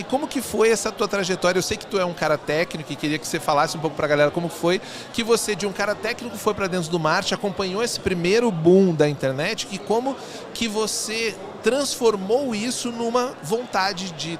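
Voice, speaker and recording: Man, high voice, enthusiastic podcast host, crowd noise behind. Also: lively intonation, brisk. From southern Brazil. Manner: chatty, enthusiastic, rambling questions. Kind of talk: casual interview chat.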